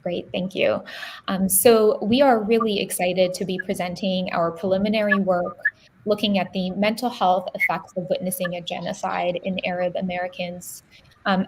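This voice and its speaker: A woman researcher giving an academic presentation over a video call, calm, sincere and steady. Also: medium pitch, gravelly.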